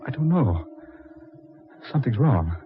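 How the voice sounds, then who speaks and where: An actor playing a dazed, grave husband returned from the dead, in halting, melodramatic 1940s radio style. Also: male voice, medium pitch, sing-song, soft background music. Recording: scratchy, tinny 1940s radio recording.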